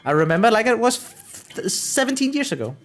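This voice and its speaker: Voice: medium pitch, very animated, a little fast. A young adult streamer chatting casually with viewers, rambling and repeating words, informal and sweary, often self-deprecating. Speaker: live streamer. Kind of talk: casual chat with viewers, reading out and answering comments. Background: background music.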